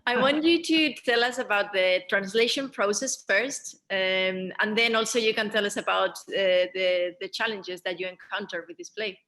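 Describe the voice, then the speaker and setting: A woman hosts a panel discussion in a bright, forward voice of medium pitch. She asks her questions off the cuff, with hesitant pauses between phrases. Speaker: Q&A moderator.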